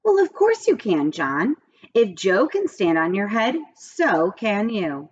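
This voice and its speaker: A woman reads as a brisk female schoolteacher in a storybook, firm and insistent but encouraging. Her pitch moves around a lot, and the sound is a little dull. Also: sing-song, breathy edge, heavily acted. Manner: insistent, no-nonsense.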